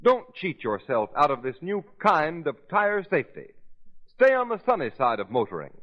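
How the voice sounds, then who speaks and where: Male radio announcer, emphatic sales pitch, very animated, higher pitch, dull 1930s recording. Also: moderate pace.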